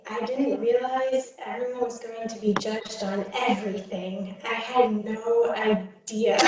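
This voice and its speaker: A woman's voice: fairly high pitch, lo-fi sound. Chatty woman from far off in a very echoey room. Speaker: guest. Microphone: video call. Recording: distant, very echoey room with faint noise.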